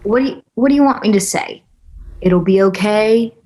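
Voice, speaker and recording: A woman's voice: mid-range voice, normal speed, a little mellow, lightly acted. A woman playing a blunt, teasing, eager young girlfriend with a brash manner in a screenplay table read. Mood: exasperated, goading. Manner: brash, foul-mouthed banter.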